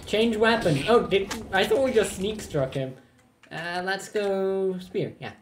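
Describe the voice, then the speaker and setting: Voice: medium pitch, a little slow, a little hiss or hum. A gamer bantering casually and playfully through gameplay, with a sarcastic streak. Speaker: gamer co-playing on a shared controller. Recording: roomy echo, game music behind. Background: video game music and sounds.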